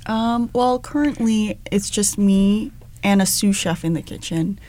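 A young woman from the Valley who makes ice cream at her shop, answering interview questions in a measured way with frequent "um"s. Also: mid-range voice, warm tone. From Los Angeles, San Fernando Valley.

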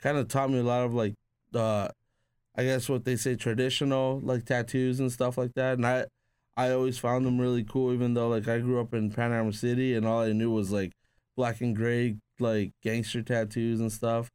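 A man's voice: medium pitch, little pitch movement, moderate pace, darkish tone, clean tone, dry recording. A man born in Peru, a tattoo artist guest, casually telling rambling stories full of "like" and restarts. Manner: rambling, filler-heavy with frequent "like". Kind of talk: casual conversational storytelling.

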